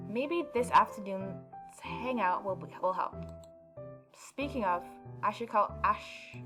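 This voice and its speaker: A woman reads visual novel narration aloud as a gaming YouTuber. She slips between storytelling and casual commentary. Game music is clearly audible behind her medium-pitched voice.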